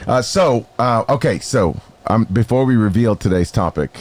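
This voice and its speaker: A middle-aged man with a cold-thickened deep voice, hosting a casual church podcast in a relaxed, joking way with many fillers. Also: normal speed. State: congested from a cold, extra deep. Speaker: podcast host.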